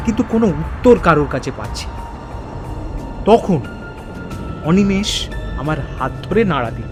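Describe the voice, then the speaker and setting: A man playing a bewildered narrator in a first-person horror story, speaking theatrically in halting, suspenseful repeated phrases. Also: high-pitched. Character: bewildered man haunted by forgotten childhood memories.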